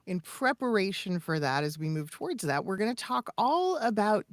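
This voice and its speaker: A woman co-hosting a podcast as a voice actor and coach, explaining things casually with measured, emphatic phrasing. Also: medium pitch, big pitch swings, dry recording. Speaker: podcast co-host.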